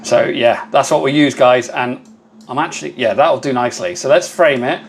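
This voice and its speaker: A man with a loud voice, hosting a casual live stream. He chats with viewers and thanks them by name while he works at a laser engraver. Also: mid-range voice, normal speed, gravelly, slight background noise.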